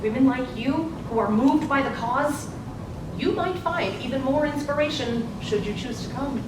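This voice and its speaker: A woman's voice: normal speed, close to an everyday voice. A woman playing a poised, encouraging suffragist politician in a live stage play, recorded in an echoey room. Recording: echoey noisy hall, distant mic, low rumble.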